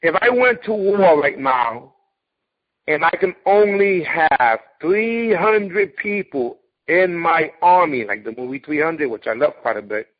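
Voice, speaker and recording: Male interviewer, slightly high voice, effusive and respectful, casual talk-show delivery over dull lo-fi call audio. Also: lively intonation, unhurried. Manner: emphatic, effusive, respectful, often addressing guest as sir. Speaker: interviewer, host of a martial arts talk show. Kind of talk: casual interview, enthusiastic introduction.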